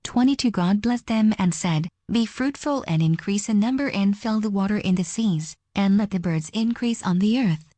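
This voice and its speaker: A woman reading scripture aloud in a measured, formal manner. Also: medium pitch, warm tone, no room sound. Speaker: reader.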